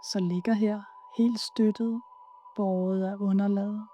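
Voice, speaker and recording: A woman leading a guided yoga nidra meditation in a soothing, soft, unhurried way with pauses. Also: medium pitch, fairly even intonation, dull audio, soft background music.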